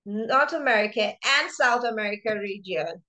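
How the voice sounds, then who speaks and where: A woman hosting an online lecture over a video call, chatty and excitable, often repeating words as she starts sentences. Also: mid-range voice, moderate pace, a little hiss or hum. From Nigeria. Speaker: lecture chair and chief speaker.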